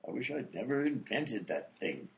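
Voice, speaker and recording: A man playing a mild, fussy, easily flustered gentleman doctor and inventor, in a radio comedy. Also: medium pitch, big pitch swings, normal speed, vocal fry. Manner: polite, fussy, flustered, old-fashioned exclamations. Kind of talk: old-time radio comedy acting.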